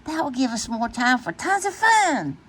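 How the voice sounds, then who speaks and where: A woman's voice: high voice, sing-song, moderate pace, fairly bright tone, slight background noise, pitched above the performer's own voice. A woman voicing a cool, laid-back young male cat in a children's storybook, easygoing and given to exclaiming. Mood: upbeat.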